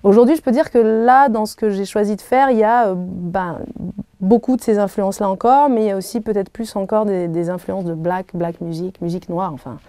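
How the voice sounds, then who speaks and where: A woman in her thirties whose first language is Arabic, answering interview questions thoughtfully, with many pauses and restarts. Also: mid-range voice, steady tone, mellow, no room sound. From Algeria. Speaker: singer being interviewed. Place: hotel room.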